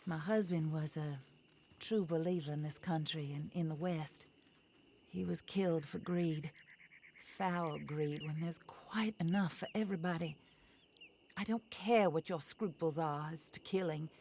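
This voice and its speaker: Low-pitched wealthy frontier widow, formal, wry, resolute, vengeful, game cutscene, faint insect noise. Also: female voice, darkish tone. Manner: formal, composed, wryly polite. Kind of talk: video game cutscene acting.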